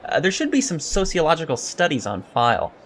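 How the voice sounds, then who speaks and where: A man's voice: on the high side, wildly swooping pitch, fairly quick, fairly bright tone, slight background noise. A man playing an eager, emotional young alien cadet in an audio drama interview, hesitant, polite and self-deprecating. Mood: sheepish, polite.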